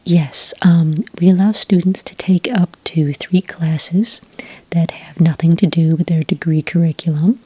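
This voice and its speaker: A woman playing a friendly, patient witch enrollment clerk in an ASMR roleplay, speaking in a gentle, breathy whisper with an unhurried, helpful manner. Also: fairly low pitch, fairly even intonation, no echo. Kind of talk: soft-spoken ASMR roleplay.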